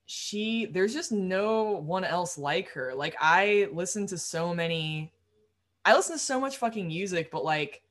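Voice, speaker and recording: A young woman musician with a low voice, talking casually in an interview, rambling with lots of fillers and quick laughs. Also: animated, a bit bright. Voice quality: low-register voice. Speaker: musician guest.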